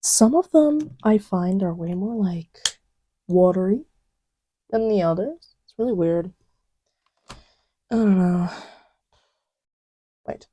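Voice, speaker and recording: A woman playing a playful, distracted young girlfriend in an intimate ASMR roleplay, murmuring in a halting, teasing way. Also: mid-range voice, lively intonation, mellow, breathy edge. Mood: absorbed, casual. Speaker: audio roleplay voice actor.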